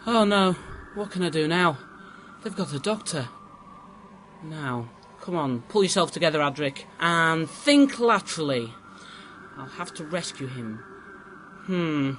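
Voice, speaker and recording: Young guy acting a worried eager sidekick, highish voice all over the place, radio play, bit of noise. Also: expressive, unhurried, a bit bright. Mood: fretful. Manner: earnest, fretful, urgent. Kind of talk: amateur radio drama acting. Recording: faint background noise.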